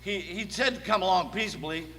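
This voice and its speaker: A man's voice: high voice, fairly quick, sharp tone, slight background noise. A man playing a plain-spoken, wary cowboy in a live Western radio play, with folksy talk in an echoey hall. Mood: anxious. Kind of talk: live Old West radio play acting.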